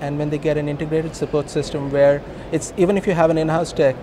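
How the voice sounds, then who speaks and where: Indian-accented man, higher-pitched, in loud noise. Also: level tone, fast talker. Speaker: company co-founder being interviewed. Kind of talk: conversational business interview. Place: busy hosting industry event. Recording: noisy event location, traffic-like ambient noise.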